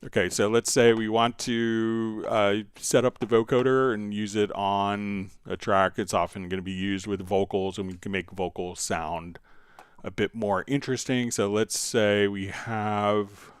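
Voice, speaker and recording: A man with a fairly low, smooth and resonant voice walks viewers through software on a live stream. He talks casually and thinks aloud, pausing hesitantly as he demonstrates each step. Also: fairly even intonation, no room sound. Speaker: software tutorial host.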